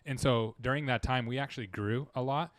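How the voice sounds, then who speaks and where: A man's voice: medium pitch, brisk, no echo. Marketing guy talking shop, earnest and rambly, dry close sound. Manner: earnest, rambling, full of restarts. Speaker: dental marketing guest. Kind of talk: casual interview chat.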